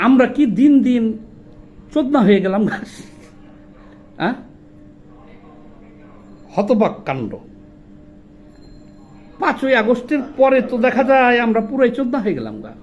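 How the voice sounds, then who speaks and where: A man's voice: extremely high pitch, sing-song, unhurried, long pauses, muffled recording, slight background noise. A man with a Bangladeshi accent giving informal video commentary, indignant and argumentative, posing rhetorical questions in colloquial speech. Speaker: social commentator.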